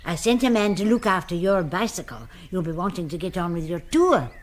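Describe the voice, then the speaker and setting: A woman playing a brisk, unsentimental older housekeeper in a radio drama, dry and matter-of-fact. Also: lowish voice, big pitch swings, slight background noise. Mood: cheery, brisk.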